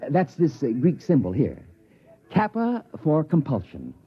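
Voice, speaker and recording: An actor playing a stuffy, earnest American sociology professor, pedantic and formal, in an old-time radio drama. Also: male voice, a little high, wildly swooping pitch, measured pace. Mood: explaining eagerly. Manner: pedantic, formal, hesitant lecturing. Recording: muffled old radio recording.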